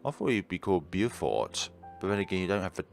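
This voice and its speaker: A man's voice: low-pitched, big pitch swings, fast, creaky. A man streaming a game with casual, wry reactions and reading along, game music behind him. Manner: wry, chatty asides. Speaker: game streamer, let's-player.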